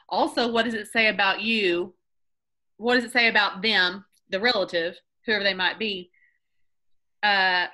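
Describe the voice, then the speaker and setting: A woman hosting a team video call, energetic and emphatic, laughing often and repeating points for effect. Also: mid-range voice. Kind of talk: motivational group call.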